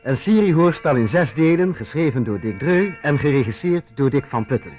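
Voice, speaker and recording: Highish male radio serial announcer over music. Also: expressive, moderate pace, a little mellow. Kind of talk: scripted radio serial introduction.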